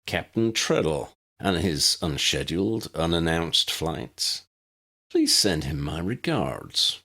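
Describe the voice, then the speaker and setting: A man's voice: low voice, sing-song, sharp tone, slightly rough, heavily acted. A man voicing a stern, weary warlock director in an audiobook, formal and dry with wry sarcasm. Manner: formal, dry, measured, sardonic.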